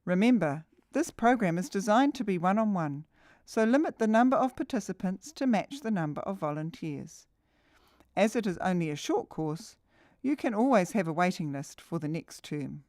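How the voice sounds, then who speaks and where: A woman narrates a scripted training video at a medium pitch. The sound is dry and close, with no room around her voice, and the delivery is that of step-by-step instruction. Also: expressive, moderate pace, darkish tone, no echo.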